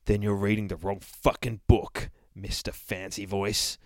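A gruff, foul-mouthed teddy bear with a violent temper and a loud, coarse way of talking, put on by a man reading a story aloud. His voice is low and dry. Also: flat delivery, a little slow, dry recording, lightly acted. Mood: taunting.

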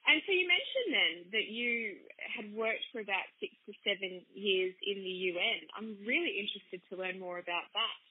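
A woman's voice: medium pitch, animated, normal speed, hardly any pauses, breathy edge, no echo. Chatty woman hosting radio. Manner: enthusiastic, stumbling restarts. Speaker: radio host. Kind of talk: radio interview. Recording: dry phone-like sound.

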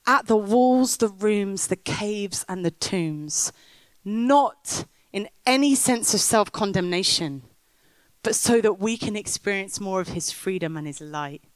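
A woman's voice: mid-range voice, no echo. A woman preaching on stage, telling a story and rousing the crowd. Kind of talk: sermon with storytelling. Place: stage in a big top tent.

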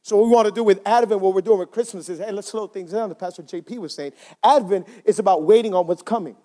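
A male pastor with a strikingly high voice delivers a sermon at a church service. He talks in an easy, conversational way and keeps prompting the congregation to answer him. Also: warm tone. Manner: conversational, asks the congregation for responses.

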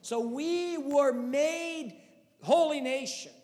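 Brazilian-accented pastor preaching in a reverberant hall. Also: male voice, very high-pitched, slow pace, darkish tone. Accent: Brazilian Portuguese. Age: 30s. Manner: impassioned preaching with rising rhetorical questions. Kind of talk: sermon.

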